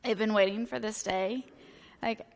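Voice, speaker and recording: A woman in her thirties, a priest, giving a casual, joking sermon with a hoarse, fading voice, chatty and self-deprecating with many filler words. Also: medium pitch, fairly even intonation, normal speed. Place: church sanctuary. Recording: long church reverb.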